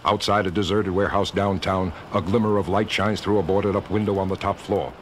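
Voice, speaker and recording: A man playing a formal, authoritative announcer, measured and dramatic in an old audio drama. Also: sits low, fairly even intonation, a little hiss or hum, lightly acted. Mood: suspenseful. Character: authoritative, solemn male announcer. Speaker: narrator and announcer. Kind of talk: 1970s audio drama narration.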